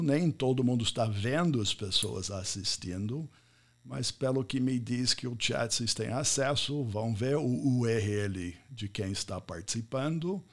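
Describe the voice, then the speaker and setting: A middle-aged man with an American accent gives a live online talk in Portuguese, his second language after English. He tells stories with lively repetition and a steady, mid-pitched voice. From United States. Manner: expressive storyteller, repeats words for effect. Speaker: event speaker.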